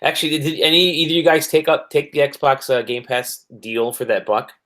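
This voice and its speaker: A man co-hosting a casual gaming podcast, laid-back and quick with agreeing interjections. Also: a little high, a bit bright. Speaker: podcast co-host.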